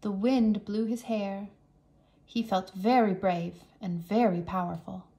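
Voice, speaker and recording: A woman teacher narrating a children's story aloud in a careful, expressive storytelling manner. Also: mid-range voice, slow. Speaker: English teacher reading aloud.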